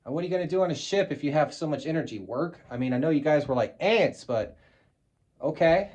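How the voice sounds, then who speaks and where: A middle-aged man from the United States talks through a collectible on camera in a casual, off-the-cuff way. His voice sits a little high for a man, and he is blunt, swears freely and drops wry asides. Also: expressive, brisk, a little mellow, dull audio. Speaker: collector showing a wartime flag on video. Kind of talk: casual show-and-tell vlog.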